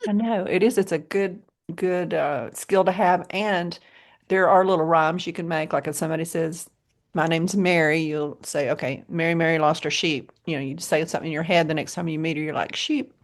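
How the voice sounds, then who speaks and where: An American woman with a low voice co-hosting a podcast, chatting casually and often laughing. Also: fairly even intonation, normal speed. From United States. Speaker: podcast co-host. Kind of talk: casual chat.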